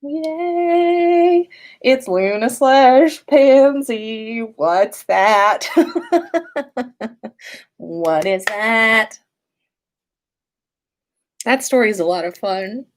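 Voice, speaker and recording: A woman hosting a story livestream in an informal, playful and expressive way. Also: on the high side, very slow, long pauses. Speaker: podcast host and story reader. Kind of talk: livestream story reading and casual chat.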